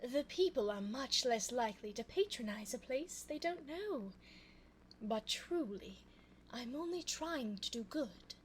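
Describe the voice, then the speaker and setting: A woman playing an elegant, cunning vampire in an ASMR audio roleplay, speaking formally and coaxingly in a soft voice close to the microphone. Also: fairly high pitch, animated, a little hiss or hum, poor audio. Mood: coaxing, sly. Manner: formal, genteel, slow, coaxing. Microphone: close binaural microphone.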